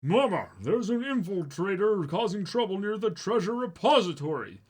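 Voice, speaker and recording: Man putting on a high, excitable little henchman. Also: normal speed, slightly rough. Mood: excited.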